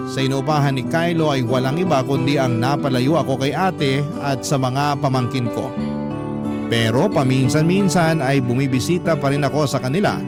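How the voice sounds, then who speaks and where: A man reading a listener's letter aloud in the first person as calm radio narration. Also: medium pitch. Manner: calm, storytelling. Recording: loud background music.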